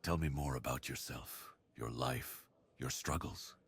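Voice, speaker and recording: Man voicing a very deep, husky brooding werewolf. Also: full character voice. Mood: tender, hopeful. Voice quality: husky, low, breathy. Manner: brooding, slow, confiding. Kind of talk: intimate ASMR roleplay monologue.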